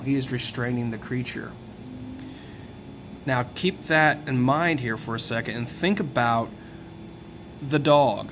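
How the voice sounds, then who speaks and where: A hoarse man hosting a video, explaining in a relaxed, musing way as he walks through details one by one. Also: mid-range voice, background noise. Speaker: tarot video host. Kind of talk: casual explanatory talk.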